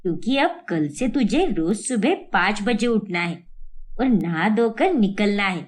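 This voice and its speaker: Woman voicing a bossy, scolding mother-in-law, lower-pitched. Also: expressive, measured pace, natural voice. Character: stern, scolding middle-aged Indian mother-in-law. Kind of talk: Hindi moral-story cartoon voice acting.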